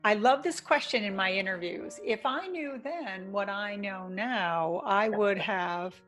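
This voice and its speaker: A woman's voice: mid-range voice, unhurried, fairly bright tone, soft background music. A woman hosting an interview podcast, asking questions in an affirming, encouraging way. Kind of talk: interview, casual chat.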